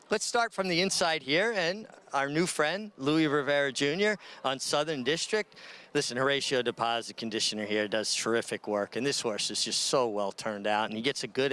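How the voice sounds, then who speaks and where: A man's voice: medium pitch, a bit bright, clean tone. A middle-aged man giving an enthusiastic, conversational paddock report on horse racing. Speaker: paddock analyst.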